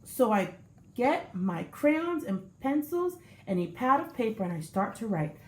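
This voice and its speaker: Expressive woman reading a children's story. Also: a little high, unhurried, slight background noise. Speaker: teacher reading aloud. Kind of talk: children's story read-aloud. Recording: echoey room.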